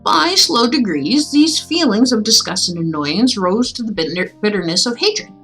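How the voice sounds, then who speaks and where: A woman with a slightly high voice hosts a reading stream. She moves between expressive, measured storytelling and playful, casual chat. Faint music plays behind her. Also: poor audio. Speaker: livestream host reading classic tales aloud.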